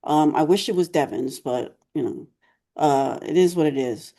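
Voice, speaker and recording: Chatty woman with a mellow voice. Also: low-pitched, normal speed, gravelly, slight background noise. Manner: chatty, rambling, many fillers. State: losing her voice, clearing throat. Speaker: podcast co-host. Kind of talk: casual recap chat.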